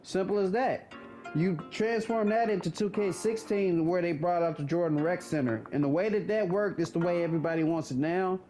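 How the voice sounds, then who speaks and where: Thirty-year-old man, high voice, casual blunt gamer commentary over music. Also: fairly quick, mellow, rough recording. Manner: laid-back, blunt, swears casually. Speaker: gaming commentator.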